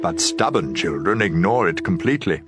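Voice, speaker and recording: A man's voice: sits low, lively intonation, moderate pace. A man giving a dramatized reading of scripture as an audio Bible reader. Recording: prominent background music. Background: music.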